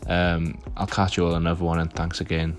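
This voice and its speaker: A man's voice: low-pitched, completely flat delivery, brisk, over loud music. A young man with a chatty, rambling way of talking and plenty of fillers, narrating his own car vlog over footage. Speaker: car vlogger.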